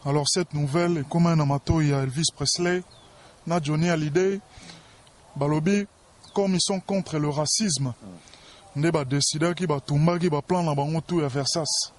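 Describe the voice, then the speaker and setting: A man with a Congolese accent and a slightly high voice holds forth in an interview. He is pompous and boastful, presenting himself as a grand star. There is some noise in the recording. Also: steady tone, normal speed. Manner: pompous, boastful, self-important.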